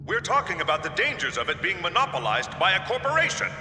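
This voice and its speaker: A man's voice: high-pitched, sing-song, fast talker, pushed and bright, a little hiss or hum, exaggerated voice. A man playing a hot-tempered, accusing parliament delegate in a video game, indignant and combative. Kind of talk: video game cutscene acting. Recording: echoey chamber with long reverb.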